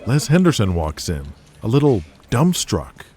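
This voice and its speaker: A man's voice: lowish voice, very animated, measured pace, a little mellow. A man narrating an audio drama in a dramatic scripted style. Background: music.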